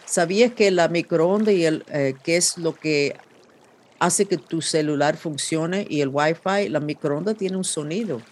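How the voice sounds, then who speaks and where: A woman's voice: low voice, measured pace, darkish tone. Podcast host woman. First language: English. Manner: rambling, meandering with restarts and fillers. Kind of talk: casual podcast conversation.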